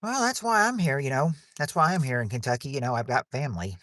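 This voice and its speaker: A man's voice: medium pitch, big pitch swings, fast talker, sharp tone, dry recording. A man hosting a livestreamed game night, chatting loosely and often restarting his sentences. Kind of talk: casual chat while hosting a game.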